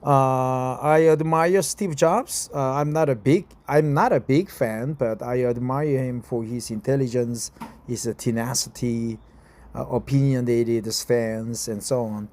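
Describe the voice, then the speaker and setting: Korean-accented man reading slowly, many fillers. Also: medium pitch, steady tone, a little mellow. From South Korea. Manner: hesitant, many uh fillers and self-corrections. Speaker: vlogger reading an article aloud. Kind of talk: casual self-recorded English reading practice.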